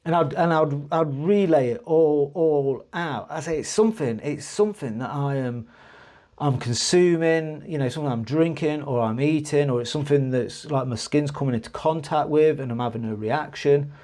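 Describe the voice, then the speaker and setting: A man's voice: a little high, moderate pace, a little mellow. A man telling a personal story to camera in a rambling, hesitant way, with many restarts, and earnest frustration when he recounts his grievances. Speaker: vlogger.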